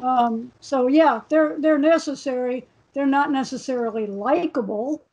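A woman speaks on a panel from a room at home. She talks in a relaxed way and adds wry asides. Her voice is high, and the call audio sounds muffled and lo-fi. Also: measured pace. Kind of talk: casual panel discussion. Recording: dull lo-fi video call.

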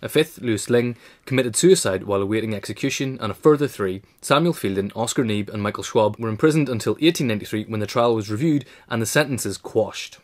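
A man reading a written biographical essay in a measured, formal way. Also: lowish voice. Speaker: narrator, reader. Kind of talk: scripted narration of a biographical essay.

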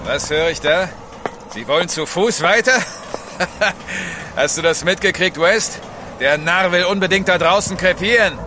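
An actor playing a coarse, menacing sailor bully in a German audio drama, drawling and taunting. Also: male voice, high voice, a little slow, long pauses, noisy background. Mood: gloating, leering. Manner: drawling, taunting, with leering chuckles.

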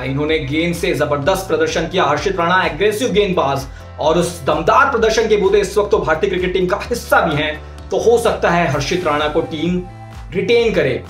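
A man in his thirties presenting a cricket news video to camera in a small indoor room, with an energetic, emphatic delivery. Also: reverberant. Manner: energetic, emphatic delivery with rising and falling pitch. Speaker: sports reporter.

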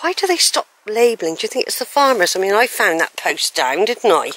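A woman's voice: medium pitch, big pitch swings, fairly quick, a bit bright, a little hiss or hum, low-quality recording. Lady vlogging on a country walk, wondering aloud which way to go, chatty, a bit sighy. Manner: thinking aloud, musing and questioning. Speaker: hiking vlogger. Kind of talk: chatty talk to camera while walking. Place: outdoors on a hillside countryside trail. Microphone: handheld camera.

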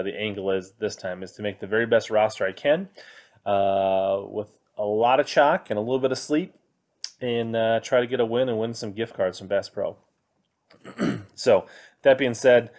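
Man, thirties, lowish voice, casual podcast host, lots of um and uh, desk mic indoors. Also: a little mellow. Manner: conversational, many fillers, reading from web pages. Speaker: fantasy fishing podcast host. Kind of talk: casual commentary on fantasy picks. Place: indoor room, screen recording with webcam inset.

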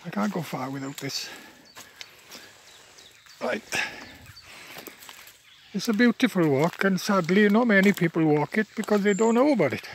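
Tired older Welsh man, gravelly voice. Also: high voice, animated, measured pace, pauses often, a little hiss or hum. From Wales. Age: 70s. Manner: chatty, plain-spoken, many fillers and restarts. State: tired from walking. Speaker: local walker filming his path. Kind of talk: rambling walking vlog. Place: outdoors on a rural path by wetland. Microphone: handheld phone camera, speaker off-screen.

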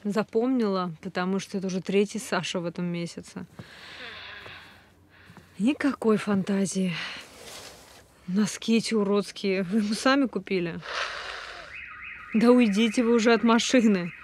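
Actress as deadpan, sardonic, world-weary woman. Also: medium pitch, normal speed, long pauses, a little hiss or hum, close to an everyday voice. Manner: deadpan, dry. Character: blunt, sardonic, world-weary single woman who drinks. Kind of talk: audio drama phone dialogue.

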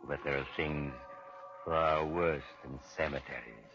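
Sinister radio mystery narrator, extremely deep, theatrical, on a muffled vintage recording. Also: male voice, a little slow, over music. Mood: ominous. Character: sinister, ominous male storyteller of murder tales. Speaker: narrator and host. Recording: dull, lo-fi 1940s radio recording.

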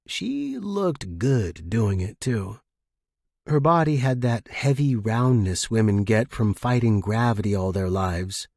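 A man reading first-person fiction as a narrator, in an expressive, dramatic manner. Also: medium pitch, breathy edge, dry recording, clean studio sound.

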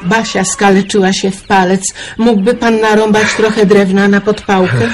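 An actress playing a warm, wistful middle-aged countrywoman who runs a wartime household in a radio drama. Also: mid-range voice, level tone, moderate pace, noisy background, close to an everyday voice. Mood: friendly, practical. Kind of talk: Polish radio drama acting.

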